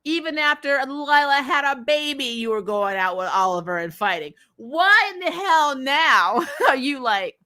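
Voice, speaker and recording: A woman hosting a casual, opinionated TV recap, talking in an animated, exasperated way with swearing and frequent laughter. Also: high-pitched, unhurried, hardly any pauses. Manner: acts out imagined dialogue. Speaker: host of a TV recap show. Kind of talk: casual opinionated review commentary.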